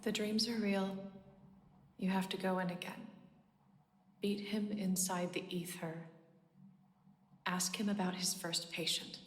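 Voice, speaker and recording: Woman acting a slow-spoken, frightened female patient. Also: mid-range voice, fairly even intonation, natural voice. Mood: quiet, resolute. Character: frightened, defiant young female patient, pleading. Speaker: actor in audio drama. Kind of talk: radio theatre acting. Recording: echoey, reverberant, hissy background noise.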